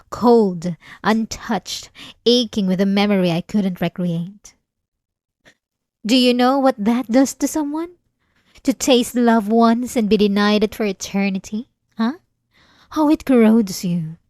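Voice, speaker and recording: A woman playing an obsessive, possessive, centuries-old vampire in an ASMR roleplay, speaking intimately and deliberately with dramatic pauses. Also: medium pitch, a little mellow, no echo, clean studio sound, lightly acted. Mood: wounded, sorrowful, then anguished, bitter.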